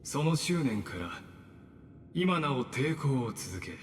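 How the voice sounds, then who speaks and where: A man voicing a calm, polite and calculating male villain in a game dub. He speaks in a formal, measured way. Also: medium pitch, slight background noise, close to an everyday voice. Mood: courteous, cold. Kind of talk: Japanese game dub acting. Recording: game audio with reverb and faint music.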